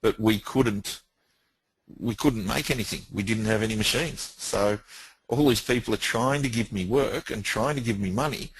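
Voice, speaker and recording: A man's voice: fairly low pitch, moderate pace, husky, dry recording, poor audio. A middle-aged man, a business owner, recounting events in a plain-spoken, emphatic way that repeats itself. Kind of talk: casual recounting.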